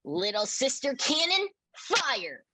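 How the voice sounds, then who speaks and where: Young frog boy, cartoon: cheerful, excitable, high and unhurried, lively swings. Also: slightly rough, heavily acted. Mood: excited. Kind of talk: animated cartoon table read.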